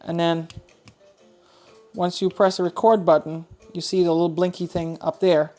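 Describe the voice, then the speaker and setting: Woman with a lowish voice doing a chatty review video, informal, talking herself through it, faint music. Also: flat delivery, moderate pace, warm tone. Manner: chatty, informal, thinking aloud while demonstrating. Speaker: host of a radio review channel. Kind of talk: casual product review vlog.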